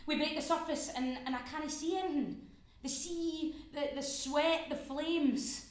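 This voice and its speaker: A woman's voice: high-pitched, unhurried, echoey room. An actress playing a panicked woman who is drowning and cannot swim, pleading breathlessly with nervous laughs. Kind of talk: dramatic monologue acting.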